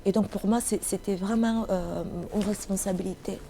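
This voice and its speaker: Mellow-voiced middle-aged woman. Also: medium pitch, steady tone, vocal fry, a little hiss or hum. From Somalia. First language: Italian. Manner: halting, searching for words in a second language. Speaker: novelist being interviewed. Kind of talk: reflective interview answers.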